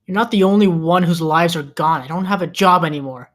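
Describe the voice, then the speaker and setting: A man playing a defeated, weary ordinary guy in a screenplay table read, speaking in a flat, resigned way. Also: fairly high pitch, brisk, natural voice. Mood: defeated, frustrated.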